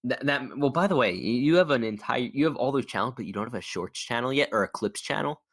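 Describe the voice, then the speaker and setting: A man's voice: medium pitch, animated, fast, a bit bright. A man chatting casually as a podcast host and YouTuber, in a rambling, stop-start way with frequent stammers. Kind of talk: casual chat interview.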